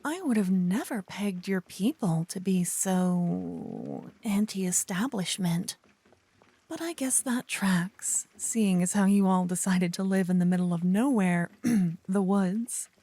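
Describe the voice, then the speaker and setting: A woman playing an earnest, chatty young paladin girl in an ASMR fantasy roleplay, with soft, expressive, dramatic delivery. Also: lowish voice, slow, slightly rough, no echo, full character voice. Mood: mildly surprised.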